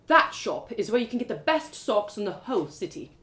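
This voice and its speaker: A woman's voice: medium pitch, big pitch swings, fairly quick, fairly bright tone, roomy sound. A woman voicing a friendly, talkative, emphatic city woman who guides a young newcomer in a story. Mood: enthusiastic. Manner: chatty, emphatic, reassuring. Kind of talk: storybook character voice.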